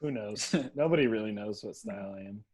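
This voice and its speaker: A man hosting a casual video-call chat about a music challenge, laid-back, filling pauses with ums and laughing often. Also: lowish voice, darkish tone. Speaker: host of a music remix challenge.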